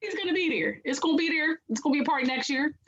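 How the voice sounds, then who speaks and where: Woman co-host, raspy and nasal, a little hoarse, fairly high voice, slightly dull lo-fi recording. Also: animated, rapid-fire, noisy background. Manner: chatty, many "you know" fillers. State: hoarse, recovering. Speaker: podcast co-host. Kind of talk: casual chat.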